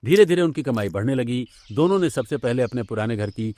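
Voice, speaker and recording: A man's voice: mid-range voice, animated, brisk, warm tone. A man reading scripted story narration for a cartoon tale. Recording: background music under the voice.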